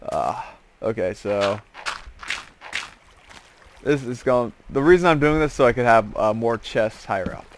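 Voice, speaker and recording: A man telling a casual story as he hosts gameplay, rambling and halting, often repeating and restarting his phrases. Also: mid-range voice, animated, background noise. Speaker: host of a gaming series.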